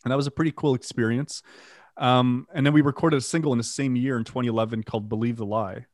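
A French Canadian man talks about his band in a relaxed, meandering way, full of "like" and restarts. His voice sits at an ordinary middle pitch. The recording is dry and close, with no room sound. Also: fast, dry recording. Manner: rambling with fillers, self-deprecating, swears casually. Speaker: band vocalist and guitarist. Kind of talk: casual interview, storytelling.

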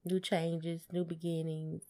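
A woman with a lowish, gravelly voice. She speaks slowly as a podcast co-host, casual and laid-back, keeping her remarks short. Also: level tone, dark tone. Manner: laid-back, brief replies. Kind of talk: casual chat.

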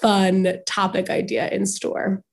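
Young woman, podcast co-host, slight cold rasp, sniffly, chatty, laughs a lot. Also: medium pitch, little pitch movement. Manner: chatty, laughing, fast run-on stories. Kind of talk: casual chat.

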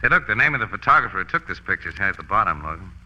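Newsman sleuth, forties radio crime show: shrewd, brisk, slightly low, very sharp voice on dulled vintage audio. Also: big pitch swings, heavily acted. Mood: decisive. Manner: brisk, informal, sure of himself. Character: shrewd, assured male newspaper photographer sleuth. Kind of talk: 1940s radio crime drama acting. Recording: dull vintage radio recording.

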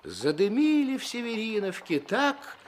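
A man narrating a literary story for a radio play, with wry, measured and expressive phrasing. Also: sits high.

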